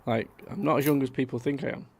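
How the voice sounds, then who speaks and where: Gravelly man chatting. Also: mid-range voice, fairly even intonation, quick pace, a little mellow. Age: 30s. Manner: chatty, rambling, reads out viewer comments. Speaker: livestream host. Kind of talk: casual live-stream chat.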